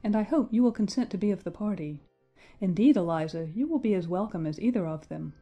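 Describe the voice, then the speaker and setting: A woman playing a composed young bride in an audiobook drama, speaking to a friend in a calm, earnest, entreating way. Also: mid-range voice, fairly quick, soft background music. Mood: composed, hopeful.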